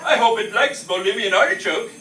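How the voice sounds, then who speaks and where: Man acting a high-pitched blustering spaceship captain. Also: fairly quick, a crowd in the background, lightly acted. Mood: cheerfully oblivious. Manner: blustering, rambling, theatrical. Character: bumbling, oblivious, pompous spaceship captain, a man. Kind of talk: comic live radio play acting. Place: live stage performance in a room. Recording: distant mic in echoey room, faint noise.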